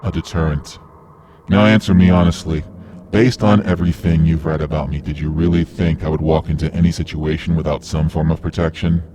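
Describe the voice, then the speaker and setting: A man playing a calm masked mastermind in a radio play, polite, condescending and menacing. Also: very deep voice, a little fast, slight background noise. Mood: smug menace. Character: calm, masked male mastermind villain, smugly controlling.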